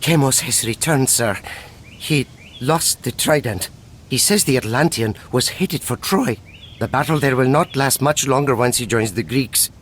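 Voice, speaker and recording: An actor playing a deferential, nervous underling to a villain general in a fantasy game cutscene. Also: male voice, mid-range voice, unhurried, a bit bright, slight background noise, close to an everyday voice. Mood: deferential, urgent. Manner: deferential, stammering when challenged. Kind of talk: dramatic game cutscene acting. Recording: faint background ambience.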